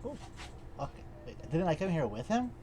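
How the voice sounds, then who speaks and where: Giggly low-voiced woman player. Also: big pitch swings, moderate pace, dark tone, slight background noise. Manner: giggly, playful, childlike delivery. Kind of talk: in-character tabletop role-play.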